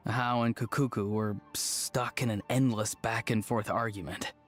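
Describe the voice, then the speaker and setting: An actor playing a friendly young man in a video game, calmly explaining and recounting events. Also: fairly low pitch, normal speed, soft background music, lightly acted. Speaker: game character.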